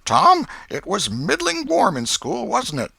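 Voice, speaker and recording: A man voicing an elderly, pious, soft-hearted aunt with a folksy Southern US accent in an audiobook, scolding and sighing. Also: high-pitched, huge pitch swings, unhurried, creaky, breathy edge, dry recording, voice pushed up, exaggerated voice. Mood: sly questioning. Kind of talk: audiobook character voice.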